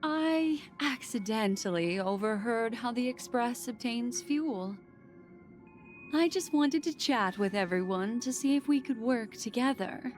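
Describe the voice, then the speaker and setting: A mysterious, seductive video game woman, elegant and teasing, with a mid-range voice. She speaks very slowly and languidly, coy and deliberate, over clearly audible music. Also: fairly high pitch, expressive, darkish tone. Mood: coy, persuasive.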